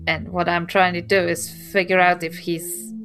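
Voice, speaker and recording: A New Zealand woman chats in character as a player in a tabletop game. Her voice is bright and forward, with faint music behind it. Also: on the low side, little pitch movement, normal speed. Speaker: role-playing game player. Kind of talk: casual in-character conversation.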